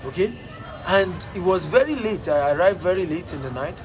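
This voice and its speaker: A man's voice: sits high, expressive, moderate pace, a crowd in the background. A man with a Nigerian accent giving a motivational talk, emphatic and repeating his phrases for effect. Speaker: motivational speaker.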